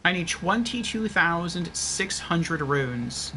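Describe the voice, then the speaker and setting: Male game streamer, higher-pitched voice, unhurried pace, casual commentary, thinking out loud, some background noise. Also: expressive, rough recording. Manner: thinks aloud, counting and reasoning step by step. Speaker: video game livestreamer.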